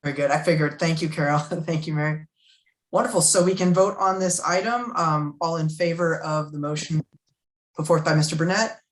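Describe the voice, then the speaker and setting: A woman's voice: low voice, brisk. Woman chairing a committee meeting. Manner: polite, procedural, with many thank-yous.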